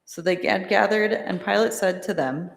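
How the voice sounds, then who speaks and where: A woman reads solemn scripture narration in a measured, formal way. Her voice has a noticeable creak, and it carries with echo and long reverb through a large church. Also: medium pitch. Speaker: narrator of Passion reading. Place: large church, live reading.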